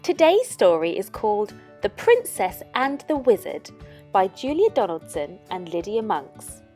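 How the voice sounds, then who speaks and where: A woman's voice: mid-range voice, big pitch swings, a little slow, warm tone, faint music behind. A woman presenting storytime, reading aloud expressively for children.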